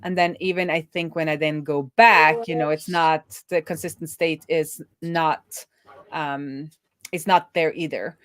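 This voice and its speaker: A woman's voice: sits low, steady tone, a little slow, a bit bright, dry recording. A woman doing casual live coding commentary as a streaming developer, thinking aloud as she goes.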